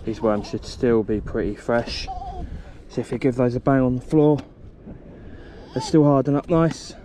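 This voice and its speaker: A man's voice: mid-range voice, normal speed. A man with an English accent on a seawall, casually talking through each step of his fishing setup. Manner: relaxed, talks through each step as he works. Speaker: angler vlogger. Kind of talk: casual how-to narration. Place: outdoor concrete seawall by the sea. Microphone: head-mounted action camera. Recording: outdoor wind and sea noise.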